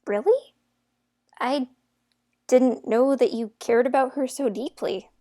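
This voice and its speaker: Girl actor as spirited young fairytale heroine, high voice, outspoken, easily exasperated, audio drama. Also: takes it slowly, mellow. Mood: hesitant, surprised.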